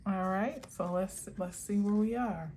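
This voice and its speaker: A woman's voice: mid-range voice, unhurried, dark tone, a little hiss or hum. A woman reading affirmation cards in a casual vlog, musing aloud and often restarting her sentences. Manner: meandering, musing, self-correcting. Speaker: spiritual tarot reader. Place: outdoor garden.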